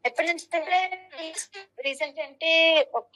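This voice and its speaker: A woman's voice: sits high, moderate pace, fairly bright tone, rough recording. A young woman chatting casually as a guest in a phone interview.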